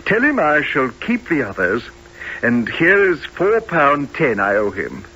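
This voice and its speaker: A man plays a formal older gentleman in a radio drama, husky yet bright, precise and businesslike. The recording is a little muffled. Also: on the high side, big pitch swings, measured pace, exaggerated voice. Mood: businesslike, trusting. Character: proper, trusting older gentleman, kindly and businesslike. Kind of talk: family radio play acting.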